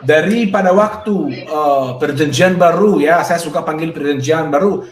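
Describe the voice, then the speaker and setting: A man's voice: a little high, moderate pace. A man adding to a religious discussion, agreeable and hesitant, with many filler sounds and a few English words. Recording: echoey room.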